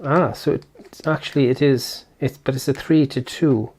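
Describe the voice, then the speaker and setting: A man's voice: medium pitch, fairly even intonation, normal speed, a little mellow, breathy edge. A man giving a casual, unscripted product unboxing and setup walkthrough, thinking aloud as he goes. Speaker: video host.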